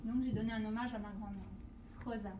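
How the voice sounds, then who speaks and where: A woman's voice: fairly high pitch, fairly even intonation, quick pace, noisy background. A woman painter from Portugal guiding visitors through her exhibition, speaking hesitantly with many fillers and restarts. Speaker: painter presenting her artworks. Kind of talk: guided exhibition walkthrough. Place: exhibition hall. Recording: roomy hall, speaker far from the mic.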